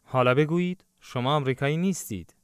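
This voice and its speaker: A man narrating a language lesson, giving clear, instructive prompts in a scripted style. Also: on the high side, very fast, dry recording, studio quality.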